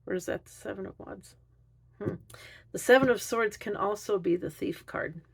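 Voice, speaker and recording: A woman doing an unscripted tarot reading, thinking aloud in a halting, musing way. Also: mid-range voice, vocal fry, breathy edge, low-quality recording. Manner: hesitant, halting delivery with musing pauses. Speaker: tarot reader.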